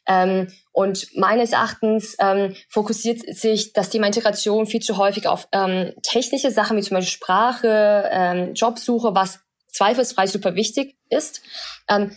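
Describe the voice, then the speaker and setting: A woman's voice: medium pitch, fairly even intonation, unhurried. A young woman from China, a podcast guest, explaining her work thoughtfully with many filler sounds. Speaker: podcast guest, social entrepreneur. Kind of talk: casual interview talk.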